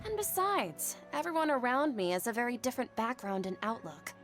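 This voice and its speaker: A woman's voice: fairly high pitch, very animated, fairly quick, a bit bright. A woman playing a composed, reflective young woman confiding in a friend, as video game dialogue. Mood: reflective, mildly let down. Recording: music behind.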